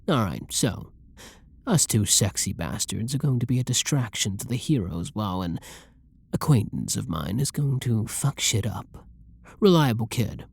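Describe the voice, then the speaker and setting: Performer playing a husky, breathy, flirty villain. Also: medium pitch, darkish tone, dry recording, heavily acted. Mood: smug, conspiratorial. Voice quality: breathy, husky, often dropping into whispers. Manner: teasing, drawling, pet-name-laden purr. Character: flirty, dominant, possessive yandere villain teasing a hostage. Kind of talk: intimate roleplay ASMR acting.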